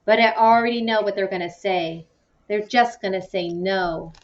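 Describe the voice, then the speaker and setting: A woman's voice: mid-range voice, moderate pace, natural voice. A woman voicing a frustrated, emotional schoolboy in a children's novel read aloud. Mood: resigned. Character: frustrated, emotional schoolboy under parental pressure. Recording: distant, muffled microphone.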